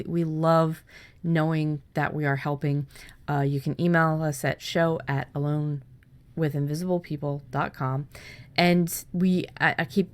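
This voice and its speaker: A woman with a fairly low-pitched voice hosts a writing podcast. She talks in a loose, chatty way, often restarting and repeating words as she goes. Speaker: podcast host. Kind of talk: casual podcast chat.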